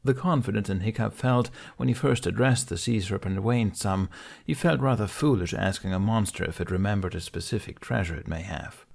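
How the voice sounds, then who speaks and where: A man's voice: lowish voice, brisk, gravelly, a little mellow. A man reading a story aloud as scripted audiobook narration.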